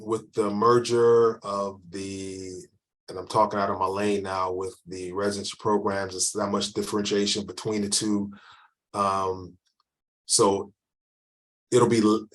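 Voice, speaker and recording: A man working as an admissions counselor, answering questions in a relaxed webinar and often restarting his sentences. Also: on the low side. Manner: rambling, restarts often, many fillers. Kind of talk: informal webinar Q&A.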